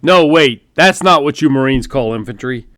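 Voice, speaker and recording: A man voicing a loud, blustery older male general barking congratulations over the phone. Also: medium pitch, animated, normal speed, dry recording, lightly acted. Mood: booming. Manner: loud, blustery, folksy military bark.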